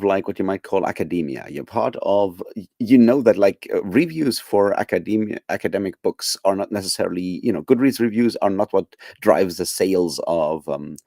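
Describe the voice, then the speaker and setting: Man, low mellow voice, casual rambling streamer chat, dry close mic. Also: no echo. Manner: rambling, many fillers and restarts; chuckles often. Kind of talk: casual chat to live viewers.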